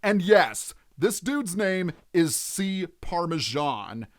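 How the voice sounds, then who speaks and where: High-pitched, animated man doing game commentary. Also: takes it slowly. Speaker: let's player, host of the series. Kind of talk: video game let's play commentary, reading in-game text aloud.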